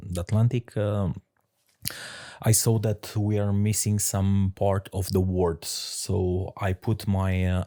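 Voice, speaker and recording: A man from Romania, a sommelier guest answering interview questions in halting English with many fillers and laughs. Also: lowish voice, dark tone. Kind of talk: casual interview talk.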